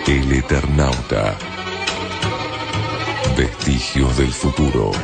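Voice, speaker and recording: A man's voice: rumbling low, slow pace, gravelly. A man announcing radio drama titles. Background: loud music.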